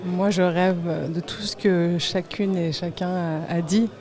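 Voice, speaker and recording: A woman talking reflectively as a panelist, listing her thoughts with pauses. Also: lowish voice, fairly even intonation, a crowd in the background. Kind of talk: reflective roundtable talk.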